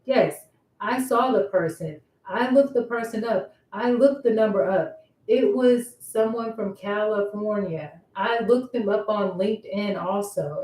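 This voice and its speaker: A woman's voice: mid-range voice, warm tone. A woman talking casually in a personal vlog monologue, circling back over her points and posing rhetorical questions. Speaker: vlogger. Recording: roomy echo, distant microphone.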